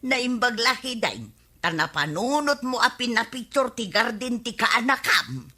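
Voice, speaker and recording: Nagging ilocano village wife, husky but bright, radio comedy. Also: female voice, fairly high pitch, animated, measured pace, exaggerated voice. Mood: scheming. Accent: Filipino, Ilocano. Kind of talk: radio comedy drama acting.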